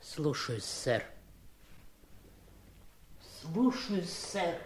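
A woman's voice: low-pitched, very animated, extremely slow, exaggerated voice. A devoted, fussing woman servant. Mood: anxious, submissive. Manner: deferential yet fussing and scolding. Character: devoted, worrying, bossy woman household servant. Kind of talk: Soviet 1970s radio drama acting.

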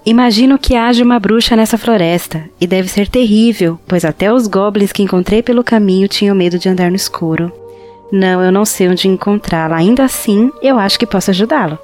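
A woman's voice: mid-range voice, brisk, darkish tone, soft background music, close to an everyday voice. Woman playing a tiny pleading forest fairy. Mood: earnest, helpful. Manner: pleading, earnest, formal storybook speech. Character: tiny hungry female forest fairy, pleading, grateful and gentle. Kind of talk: audio drama fantasy acting.

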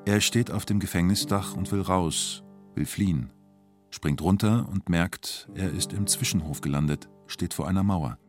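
Ein Schauspieler spielt in einem Hörspiel einen nüchternen, sachlich formulierenden Erzähler und Vernehmer. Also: male voice, deep voice, normal speed, over loud music, lightly acted. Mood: sober, reflective. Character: sober male narrator and formal police interrogator. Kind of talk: scripted radio drama narration.

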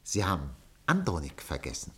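An actor playing a wily newspaper director in a German radio play, theatrical and wry, moving from hesitation to emphasis. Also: male voice, low-pitched, wildly swooping pitch, moderate pace, bright, forceful tone, full character voice. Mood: bitter, wry. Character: wily, theatrical male newspaper director, defeated but scheming.

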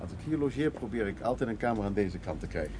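An actor playing a gruff, stubborn art restorer in his sixties in a radio play, talking in a casual, halting, naturalistic way. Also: lowish voice, expressive, background noise, close to an everyday voice. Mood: relaxed, inviting. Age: 60s. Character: sixty-year-old male art restorer, gruff, stubborn, reflective.

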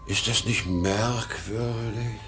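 A man's voice: on the low side, slow pace, sharp tone, faint music behind, exaggerated voice. An actor playing a weary, rambling old traveling salesman in a 1950s German radio drama, swinging between outbursts and murmurs. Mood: puzzled. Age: older.

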